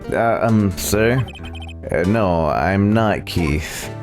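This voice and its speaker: A man's voice: low voice, animated, drawn-out words. A man streaming a video game, casually reading out dialogue and commenting offhand. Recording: game music behind the voice.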